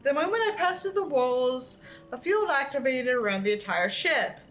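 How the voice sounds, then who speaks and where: A woman's voice: high voice, animated, moderate pace, a bit bright, faint music behind. A woman reading a book aloud as an online creator, with an irreverent, exasperated manner and casual, sweary asides.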